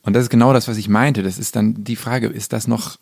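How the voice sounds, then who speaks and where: A man's voice: fairly low pitch, steady tone, creaky, a little mellow, dry recording, studio quality. A man talking casually as a musician guest in an interview, thinking aloud and often stopping to search for words. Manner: reflective, halting, searching for words. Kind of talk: casual conversational interview talk.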